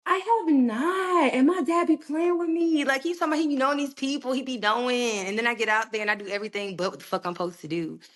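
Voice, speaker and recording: A young woman recording artist in a casual interview, talking in a slangy, animated way with plenty of swearing. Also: sits high, fast, hardly any pauses. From United States, raised partly in Puerto Rico.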